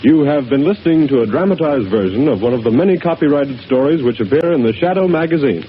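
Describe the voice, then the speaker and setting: A man's voice: mid-range voice, expressive, fast talker. A man making formal scripted announcements on an old radio broadcast. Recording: dull old radio recording. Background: loud music.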